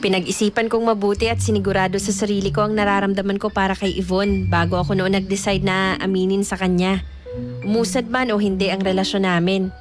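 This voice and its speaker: An actor playing an earnest young Filipino man who narrates his own story in a measured, confessional radio drama style. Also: high-pitched, close to an everyday voice. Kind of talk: scripted first-person radio drama narration. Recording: music bed under narration.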